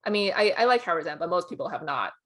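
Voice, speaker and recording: A woman's voice: on the low side, lively intonation, quick pace, a bit bright. A woman co-hosting a casual chat about film awards in an informal, conversational way. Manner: laughs often while talking. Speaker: awards podcast co-host and pundit.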